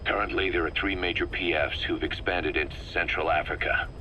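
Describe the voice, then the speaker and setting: A man playing a hardened, wry mercenary officer giving a deliberate, emphatic briefing in a video game. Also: low-pitched, steady tone, noisy background, lightly acted. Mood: businesslike, warning.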